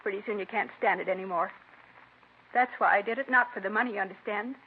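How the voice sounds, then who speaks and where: A woman's voice: mid-range voice, fast, slightly rough. A woman playing a bitter, lovelorn murder suspect in a 1950s radio crime drama. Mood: anguished, bitter. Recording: tinny, hissy vintage radio recording.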